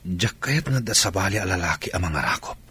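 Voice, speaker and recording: An actor playing a remorseful, jealous husband with a husky voice, pleading earnestly in an Ilocano radio drama. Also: male voice, sits low, expressive, fairly quick, sharp tone, full character voice.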